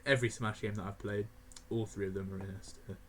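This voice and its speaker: An eighteen-year-old man rambling casually through a game tier list, laughing often and filling pauses with ums and uhs. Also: on the low side, fairly even intonation, a bit bright, a little hiss or hum. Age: young adult (18). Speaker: gaming video creator.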